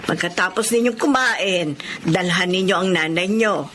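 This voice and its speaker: A woman's voice: fairly low pitch, moderate pace, fairly bright tone, slight background noise, close to an everyday voice. A Filipino woman playing a caring, outspoken middle-aged aunt in a radio drama, emphatic and motherly.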